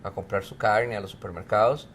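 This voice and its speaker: A middle-aged man, a professor and researcher from Mexico who grew up in Costa Rica, answering interview questions in a relaxed, explanatory way with frequent fillers. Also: fairly low pitch, a little fast, slight background noise. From Mexico, raised in Costa Rica. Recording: faint music behind.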